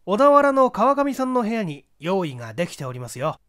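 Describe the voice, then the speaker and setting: A man playing a shrewd, kindly detective in a mystery story, speaking in a measured, gently probing manner. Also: high voice, sing-song. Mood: composed. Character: Kudayū, composed, kindly, shrewd amateur detective.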